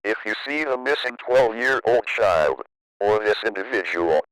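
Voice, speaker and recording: A man reading a scripted emergency broadcast as an alert announcer, in a formal, measured way. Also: lowish voice, expressive, fairly bright tone, smooth, dry recording, low-quality recording.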